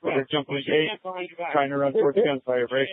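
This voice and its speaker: A man's voice: medium pitch, fairly quick, no echo, phone-quality sound. A male police officer giving urgent field reports over a police radio scanner.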